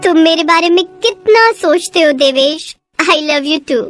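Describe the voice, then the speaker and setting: A woman's voice: extremely high pitch, animated, slow pace, a bit bright, faint music behind, exaggerated voice. A woman voicing a trusting, devoted young college girl in love, with a sweet, affectionate manner, for an audio story.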